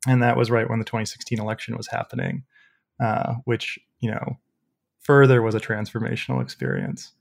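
A young man with a loud voice, a podcast guest telling stories casually with many fillers. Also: lowish voice, a little fast, vocal fry. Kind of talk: casual storytelling interview.

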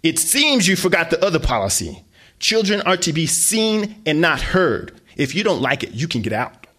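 A man voicing a domineering middle-aged mother who scolds and threatens her son, with a husky voice. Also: mid-range voice, big pitch swings, fast talker, voice pushed up. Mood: furious.

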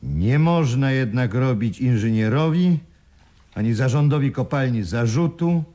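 A man acting in a Polish radio play as an uneasy businessman, speaking in a formal, measured, articulate way. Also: mid-range voice, animated, mellow, natural voice. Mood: formal, official. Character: uneasy businessman reading an expert report aloud.

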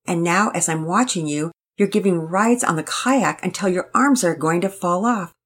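A gentle, earnest mother giving calm counsel, read by a woman. The voice is low-pitched and a little husky, and it speaks steadily. It has a patient, guiding manner. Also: normal speed. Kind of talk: storybook reading.

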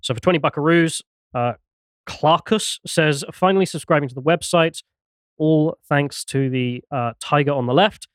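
A man's voice: on the high side, normal speed, clear, resonant tone, no room sound, clean studio sound. A man hosting a casual panel chat and reading out viewer messages.

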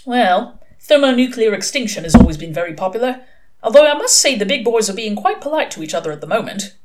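Woman playing a sardonic, lively male demon. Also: a little high, fast, noisy background, voice pushed up. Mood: flippant. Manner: sardonic, blunt, flippant. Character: sardonic, irritable male demon, worldly and flippant.

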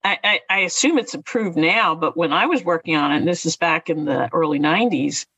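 A man's voice: fairly high pitch, brisk, sharp tone, breathy edge, no echo, lo-fi sound. An older man from Philadelphia with a slight Philadelphia accent, chatting casually and laughing often in a panel discussion.

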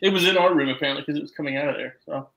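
A man's voice: a little high, rapid-fire, fairly bright tone, lo-fi sound. A man in his twenties from the United States, co-hosting a casual podcast and telling joking, rambling stories about his snakes. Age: 20s. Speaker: podcast co-host, snake keeper.

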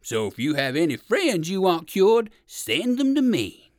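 A woman voicing a sly, hungry big bear in a children's storybook, coaxing with quiet menace. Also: on the low side, big pitch swings, no room sound, studio quality. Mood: wheedling. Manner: slow, coaxing, menacing salesman.

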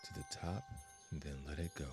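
A man hosting a guided meditation, giving calm, deliberate instructions in measured phrases with long pauses. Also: very deep voice. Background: soft meditation music with chimes.

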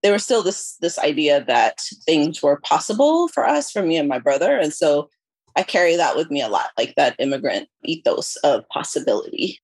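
Low-pitched woman giving interview answers, dry sound. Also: very animated, moderate pace, darkish tone, husky, no room sound. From born in Ethiopia, moved to the US at about eleven. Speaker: podcast guest, consulting firm chief executive.

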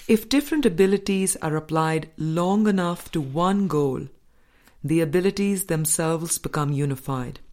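Woman narrating a spiritual audiobook, deep-ish voice, really slow and measured, lots of pauses. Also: a little mellow. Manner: measured, deliberate, with frequent pauses. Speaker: audiobook reader. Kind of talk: scripted narration of a spiritual book.

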